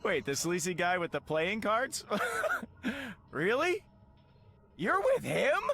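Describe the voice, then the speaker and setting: An actor playing a cocky, goofy, flirty space adventurer with casual speech in a video game. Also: male voice, high-pitched, huge pitch swings, slow pace, gravelly, bright, forceful tone, heavily acted. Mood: flirty, incredulous.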